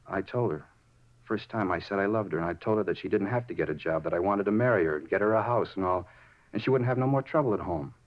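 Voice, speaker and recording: An actor playing an unhinged, self-justifying murder suspect in a 1950s radio drama, rambling over small details and repeating himself. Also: male voice, sits low, fast, close to an everyday voice. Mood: earnest. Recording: muffled 1950s radio recording.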